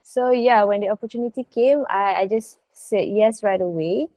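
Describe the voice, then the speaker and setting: A woman's voice: mid-range voice, moderate pace, dry recording, dull audio. A woman, an actress being interviewed, talking in a casual, unscripted way.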